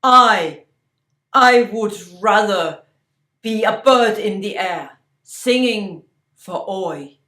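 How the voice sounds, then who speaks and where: A woman storyteller voicing a young woman in love, crying out loudly in despair. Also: mid-range voice, lively intonation, drawn-out words, roomy sound, distant mic, full character voice. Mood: impassioned. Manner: loud impassioned outcry.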